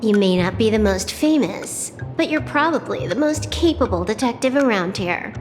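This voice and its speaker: A woman voicing a cool, wry young hacker outlaw in a video game, cheeky and unbothered with deadpan wit. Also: on the high side, moderate pace, in a large hall, music in the background, close to an everyday voice. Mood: matter-of-fact. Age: young adult.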